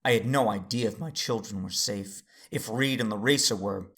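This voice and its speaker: An actor playing a steady, weary Jedi father who narrates his own story in an earnest, measured audio drama style. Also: on the low side. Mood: strained, restrained. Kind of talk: first-person dramatic narration and acting.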